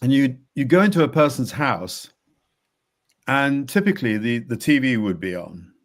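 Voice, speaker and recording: A man, an executive coach, talking slowly and reflectively in a conversation, repeating words as he thinks. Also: mid-range voice, sing-song. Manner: slow, measured, with repeated words and soft laughs.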